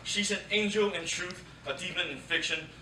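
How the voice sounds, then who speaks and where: A man playing an earnest young man in a staged reading, measured and articulate. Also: high voice, natural voice. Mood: playful. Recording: roomy, distant theatre recording, faint noise.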